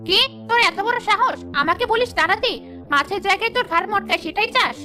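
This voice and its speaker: A woman voicing a short-tempered, hungry river ghost with a bossy, threatening manner in an animated folk tale. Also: very high voice, fast talker, faint music behind, full character voice. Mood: menacing.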